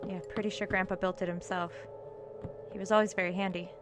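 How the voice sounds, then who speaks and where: A woman's voice: medium pitch, flat delivery, fast, natural voice. Actress as earnest young college woman over music. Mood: guarded, earnest. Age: young adult. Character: steady, wry young college student, secretive granddaughter. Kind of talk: audio drama acting.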